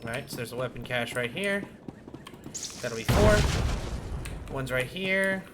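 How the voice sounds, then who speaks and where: A man's voice: medium pitch, lively intonation, a little slow. A man giving casual, informal gameplay commentary, thinking aloud as he plays. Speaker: game streamer. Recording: noisy with keyboard clatter. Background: game ambience, keyboard typing.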